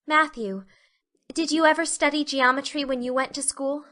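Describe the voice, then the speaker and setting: Woman playing a bright-voiced, earnest schoolgirl. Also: sits high, steady tone, moderate pace, voice pushed up. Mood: plaintive. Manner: earnest, wordy, melodramatic. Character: earnest, dramatic, chatty young schoolgirl. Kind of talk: storybook character dialogue.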